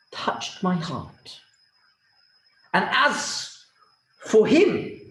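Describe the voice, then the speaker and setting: Storybook king played by a man: imperious, grandiose, high-pitched, stretching words, in an echoey room. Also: exaggerated voice. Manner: pompous, drawn-out, swooping delivery. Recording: roomy, echoing sound.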